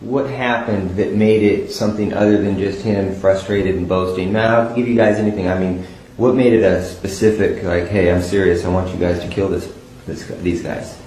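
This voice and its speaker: A man's voice: low voice, steady tone, moderate pace, warm tone, slight background noise. A man questioning someone as an investigator, probing for details in an interview. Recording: roomy echo. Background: music.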